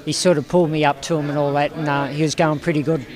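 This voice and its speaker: Australian jockey from New South Wales, higher-pitched, relaxed and umming, interview at the track. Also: male voice, fast, crowd noise. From Hunter Valley, New South Wales. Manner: easygoing, hesitant with many ums. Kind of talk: post-race interview.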